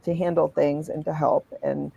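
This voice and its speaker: A woman running a business, chatting quickly and warmly with her team on a live video call. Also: sits low, little pitch movement, vocal fry, husky, dull audio. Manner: chatty, quick, self-deprecating. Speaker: business owner. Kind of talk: casual live stream chat.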